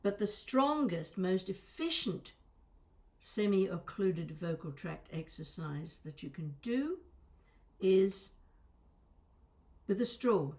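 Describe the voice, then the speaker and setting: A woman's voice: mid-range voice, lively intonation, takes it slowly, long pauses, darkish tone. A woman coaching vocal exercises in a conversational, instructional way, stressing and stretching key words. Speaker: voice and accent coach.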